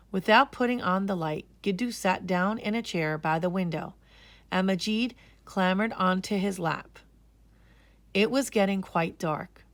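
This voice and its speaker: A woman narrating a children's story aloud in an expressive, measured way. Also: fairly low pitch, dry recording.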